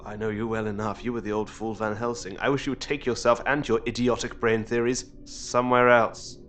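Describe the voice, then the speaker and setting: Man playing asylum lunatic, sullen, contemptuous, biting, medium-pitched, audio drama. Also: flat delivery, a little fast, fairly bright tone, soft background music, close to an everyday voice. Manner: scornful, biting.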